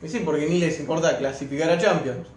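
A man's voice: on the high side, quick pace, a little mellow. A young man with an Argentine Rioplatense accent chatting casually and colloquially with friends about sport. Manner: colloquial, slangy. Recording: roomy, echoey room.

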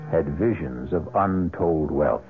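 An American man hosting an old-time radio drama with portentous, deliberate scripted narration. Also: extremely low pitch, a little hiss or hum. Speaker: host and narrator. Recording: narrow old radio broadcast, music behind.

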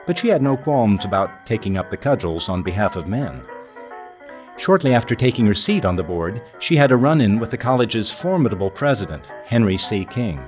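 Male narrator, lowish pitch, articulate scripted documentary read over music. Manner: measured, articulate.

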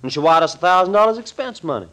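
An actor playing an eager, folksy, wisecracking detective's sidekick in a 1940s radio thriller, slangy and chummy. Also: male voice, high voice, expressive, racing, a bit bright. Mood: eager, hurried.

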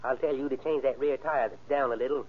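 A man's voice: medium pitch, steady tone, quick pace, close to an everyday voice. A man acting as a cold, cocky gangster ringleader in an old-time radio crime drama, with slangy, bossy tough-guy talk. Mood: cocky, scheming. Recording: tinny, band-limited old radio recording.

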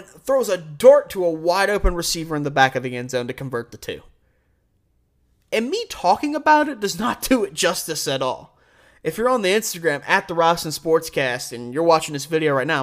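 A man's voice: fairly high pitch, fairly bright tone. Emphatic American male sports host. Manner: emphatic, conversational, opinionated. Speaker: sports podcast and radio host. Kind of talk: sports commentary monologue.

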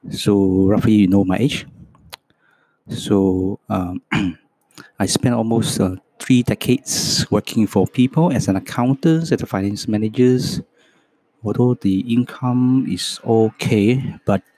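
A man in his fifties speaks English with a Hong Kong Cantonese accent, his first language being Cantonese. He talks very slowly and softly in a low voice, stumbling through repeated words and fillers. The sound is dry and close. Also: breathy edge, no echo, rough recording. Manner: slow, soft, halting with many fillers and repeats. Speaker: podcast host. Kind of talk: reading a self-introduction script.

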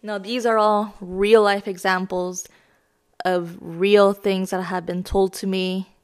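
A woman in her thirties hosting a self-help lesson podcast, her voice raspy as she gets over being sick, talking in a chatty, self-deprecating, explanatory way. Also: on the low side. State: raspy voice from recent illness. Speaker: podcast host.

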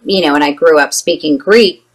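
A woman's voice: sits low, normal speed. A woman guest in an interview, speaking thoughtfully with frequent fillers and restarts. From United States. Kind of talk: conversational interview.

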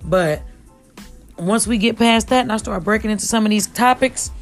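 A woman with a raspy voice hosting her own podcast from home, rambling casually and full of slang. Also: medium pitch, normal speed, faint music behind. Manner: slangy, chatty, addresses listeners as y'all. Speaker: podcast host.

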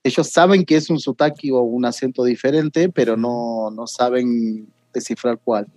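An Argentine man talks easily in a relaxed interview, with a steady mid-range voice. He stops often on fillers as he thinks. The sound is close and dry. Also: medium pitch, moderate pace, no room sound. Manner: chatty, many fillers. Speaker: guest. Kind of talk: casual conversation.

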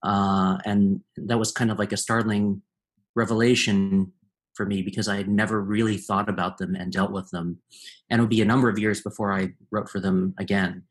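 A man's voice: lowish voice, flat delivery, normal speed. A man speaking as a guest in a casual conversation, thoughtful and searching for his words as he goes. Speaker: guest composer.

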